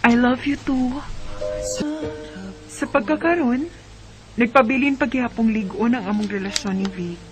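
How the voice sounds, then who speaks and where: A woman's voice: a little high, unhurried, pauses often, music in the background, natural voice. A woman acting a sweet, hesitant young college student in love in a Filipino Bisaya radio drama. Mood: tender.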